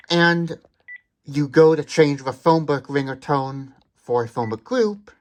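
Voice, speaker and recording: A mid-pitched voice of a product demonstrator talking through a device without a script. The delivery is explanatory and thorough, moving from one detail to the next. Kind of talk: unscripted tech demonstration.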